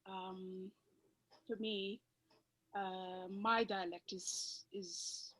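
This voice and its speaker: A woman with a Fijian accent, a native Fijian speaker, talks through a video call in a panel discussion. She is thoughtful and hesitant, pausing often on filler sounds, with a voice of ordinary pitch. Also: flat delivery, fairly bright tone. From Fiji.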